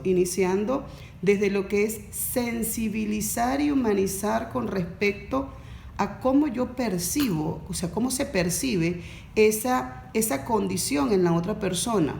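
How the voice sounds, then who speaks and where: Gravelly-voiced woman explaining. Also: medium pitch, animated, darkish tone, roomy sound, slight background noise. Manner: measured and explanatory, often restating points. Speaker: diploma course facilitator. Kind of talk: explanatory podcast conversation.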